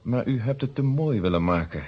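Husky, shrewd police inspector. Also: male voice, mid-range voice, lively intonation, fairly quick. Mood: coolly accusing. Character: male police inspector, shrewd, methodical, quietly triumphant accuser. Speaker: actor in a radio detective play. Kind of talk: Dutch radio drama acting, formal deduction. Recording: dull, muffled old radio recording.

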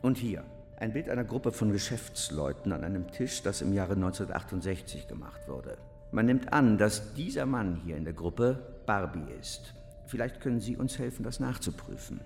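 Gravelly official in a reverberant hall. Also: male voice, fairly low pitch, sing-song, moderate pace, darkish tone. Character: grave official showing evidence, measured and requesting help. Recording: long hall reverb, music behind.

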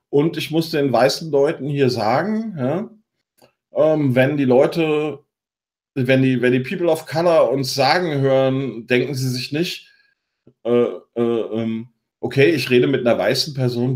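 A man co-hosting a podcast, commenting on news in a halting way as he reads from his notes. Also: mid-range voice. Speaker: podcast co-host.

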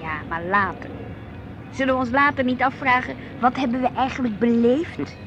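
An actress playing a wistful, discontented married housewife in a radio play. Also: female voice, a little high, moderate pace, muffled recording, noisy background, close to an everyday voice. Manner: expressive, brooding, plaintive.

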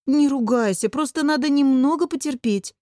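A young heroine of a comic fantasy audiobook, played by a woman narrator. She has a fairly high voice and a patient, soothing side that easily tips into exasperation. The recording is dry. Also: normal speed, dark tone, dry recording, close to an everyday voice.

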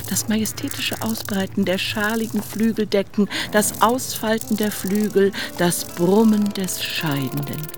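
A woman as the measured, observant narrator of a literary radio play, reading scripted prose. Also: medium pitch, natural voice.

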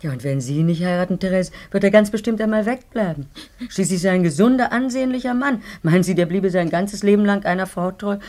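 An actress playing a sensible, persuasive family friend in a German radio play, talking frankly and coaxingly. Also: female voice, mid-range voice, quick pace, darkish tone, lightly acted.

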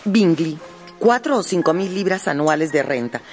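A woman's voice: lowish voice, lively intonation, normal speed, a little mellow, soft background music, close to an everyday voice. A woman playing an eager, gossipy matchmaking wife and mother in a radio drama.

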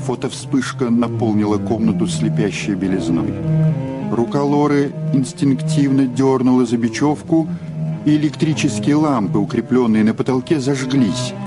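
A man with a mid-pitched voice narrates an audio drama. The delivery is scripted, dramatic storytelling, with music clearly playing behind him. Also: normal speed.

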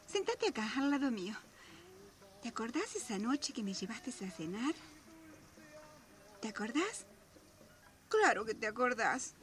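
A high-voiced, flirtatious widow. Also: female voice, fairly bright tone, heavily acted. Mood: coquettish, coaxing, then tender, pleading. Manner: flirtatious, sighing, coaxing. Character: playful, amorous widow, coquettish and wistful. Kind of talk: radio drama acting.

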